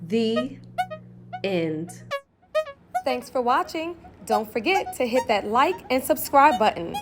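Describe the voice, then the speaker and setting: A woman narrating a children's picture book in an expressive storytelling manner. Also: fairly high pitch. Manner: slow, animated storytelling. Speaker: children's read-aloud host. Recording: music behind the voice. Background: background music.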